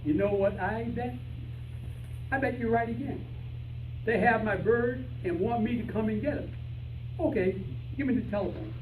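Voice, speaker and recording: Man acting an excitable high-pitched stubborn detective, echoey. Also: moderate pace, long pauses, close to an everyday voice. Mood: hopeful, relieved. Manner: indignant, argumentative. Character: excitable stubborn male detective searching for his lost canary. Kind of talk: stage play in old-time radio show style. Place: live rehearsal before an audience. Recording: echoey room, distant mic, faint noise.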